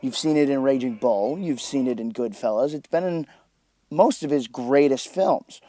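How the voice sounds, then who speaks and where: A man's voice: medium pitch, moderate pace, dark tone. A man giving enthusiastic film scene commentary as a host, with emphatic, dramatic phrasing.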